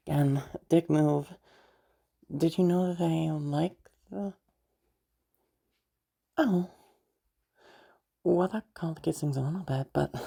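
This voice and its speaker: A woman plays a kindly young female stranger in an intimate audio roleplay. Her voice is very low, dark and husky. She talks casually and haltingly, with a teasing but comforting manner. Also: heavily acted. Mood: sympathetic, bemused. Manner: hesitant, chuckling often, casual swearing. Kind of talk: improvised-sounding intimate audio roleplay, one side of dialogue.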